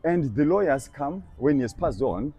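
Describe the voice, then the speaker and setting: A man's voice: on the high side, animated, normal speed, mellow, breathy edge, lo-fi sound. Earnest young male street preacher outdoors. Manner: earnest, persuasive, repeats verses back line by line. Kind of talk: street evangelism conversation. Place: busy outdoor shopping street.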